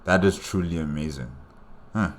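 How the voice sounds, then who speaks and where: A man with a very deep voice gives casual reaction commentary. He thinks aloud, hesitating and repeating words as he works out each point. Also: moderate pace. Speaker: reaction video host.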